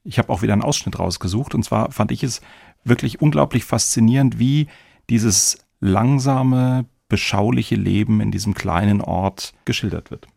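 A man moderates a podcast in an informal conversational style. His voice is lowish and extremely creaky, recorded dry and close. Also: normal speed, a little mellow, no room sound. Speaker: podcast host.

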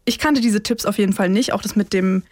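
A woman's voice: medium pitch, a little fast, a little mellow, no room sound. Woman chatting on a podcast, casual, laughs easily, really dry sound. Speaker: podcast co-host. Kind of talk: casual chat between co-hosts.

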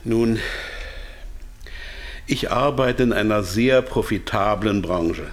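An actor playing a captive pharmaceutical manager, hesitant and anxious to comply, in a German radio drama. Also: male voice, lowish voice, slow pace. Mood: explaining.

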